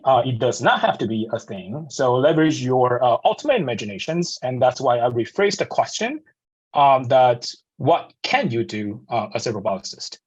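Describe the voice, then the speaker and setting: Man with taiwanese accent, guest speaker, halting with many fillers, slightly muffled video-call audio. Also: medium pitch, slightly rough. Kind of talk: slide presentation to students.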